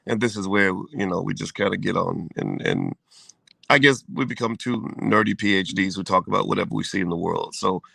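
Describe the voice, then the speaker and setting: Gravelly, bright-voiced man, laid-back chat. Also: low voice, very animated, a little fast, no room sound. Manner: laid-back, joking, slangy. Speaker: co-host. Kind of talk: casual chat.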